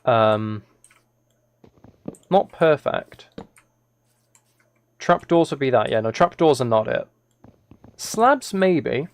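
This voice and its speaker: Male game streamer, gravelly creak, mid-pitched, casual and thinking aloud. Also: expressive, slow pace, long pauses. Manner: thinking aloud, self-correcting. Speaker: live streamer. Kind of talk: casual gaming livestream commentary, chatting with viewers.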